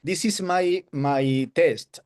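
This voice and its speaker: Spanish-speaking man with a Colombian accent, slow halting broken English on a video call. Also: a little high, a little mellow, clean tone. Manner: hesitant broken English, searching for words. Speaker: webinar attendee giving a testimonial.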